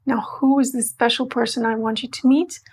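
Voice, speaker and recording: A woman's voice: a little high, fairly even intonation, breathy edge. A woman from Portugal, teaching a playful, emphatic scripted language lesson. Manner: playful, emphatic, slows to spell out words.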